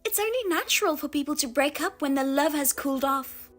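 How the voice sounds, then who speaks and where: A woman plays a husband-stealing younger sister in a voiced drama, smug and taunting, her high voice leaping all over as she drags out her words. Music plays underneath her. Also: lively intonation, fairly bright tone. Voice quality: high and sing-song, taunting. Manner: mocking, self-satisfied, drawn-out teasing.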